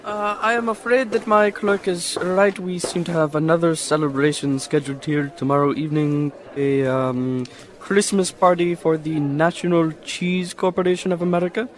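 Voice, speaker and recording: A man acts out a scatterbrained hotel owner in a radio drama. His voice sits somewhat high, and he speaks with effusive, old-fashioned formality. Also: steady tone, moderate pace, natural voice. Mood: polite, apologetic. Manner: effusive, quaint, formal phrasing. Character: cheery, scatterbrained foreign male hotel owner.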